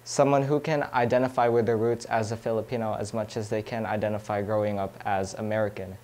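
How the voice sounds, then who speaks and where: A man's voice: on the low side, level tone, fairly quick, darkish tone. Careful young man, frequent "uh". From California. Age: young adult. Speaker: student interviewee. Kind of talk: unscripted reflective answers.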